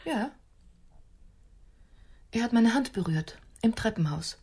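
Swooping, husky, gentle woman friend. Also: on the high side, animated, slow pace, heavily acted. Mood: quietly earnest. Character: gentle, doubting woman friend with shy hopes. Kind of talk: radio play acting.

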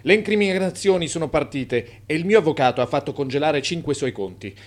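An actor playing a pompous, legalistic, demanding furniture dealer in a radio drama. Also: male voice, fairly high pitch, animated, a little fast, natural voice.

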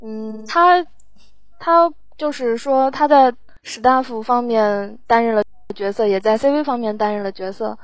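Casting: woman, raised pitch, halting and unsure, chatting informally in a dry acoustic. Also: clear, resonant tone, no echo. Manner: hesitant, halting. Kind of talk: casual group chat, recounting production work.

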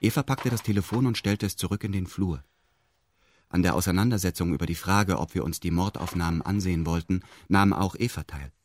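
A man's voice: low-pitched, fairly quick, a little hiss or hum. A male actor playing a detached first-person radio drama narrator with precise, stilted, bureaucratic phrasing. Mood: detached, formal.

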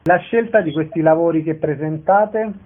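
A man's voice: on the high side, moderate pace. A man hosting a radio interview, introducing and questioning guests. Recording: narrow-band, phone-like radio sound.

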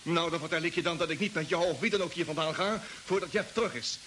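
An actor playing a stubborn, excitable spaceship crewman in an old science-fiction radio drama, blustering and breaking off mid-sentence. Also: a little high, quick pace, close to an everyday voice. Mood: indignant. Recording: hissy old radio recording.

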